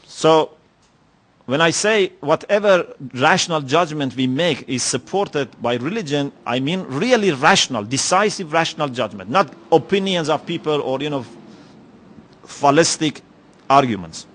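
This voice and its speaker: Man w/ giving a lecture, slightly high voice, slow and thoughtful, lots of "you know". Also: animated, fairly bright tone. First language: Persian. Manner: measured, reflective, with many pauses and "you know" fillers. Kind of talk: religious lecture. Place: lecture hall.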